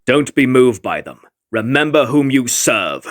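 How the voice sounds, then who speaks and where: A man's voice: medium pitch, big pitch swings, measured pace, no room sound, clean studio sound. A man playing a scheming, gloating otherworldly villain lord in a comic fandub, theatrical and condescending. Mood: scheming, sinister.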